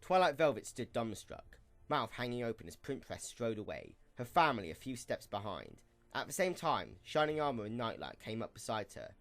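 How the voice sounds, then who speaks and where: A man's voice: medium pitch, sing-song, normal speed, fairly bright tone. A man reading scripted story narration as the narrator of an audio drama.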